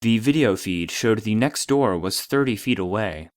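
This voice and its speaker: An actor playing the formal, detached voice of a secret archive database, narrating a scripted report in an audio drama. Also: male voice, fairly low pitch, expressive, normal speed, close to an everyday voice. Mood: clinical, detached.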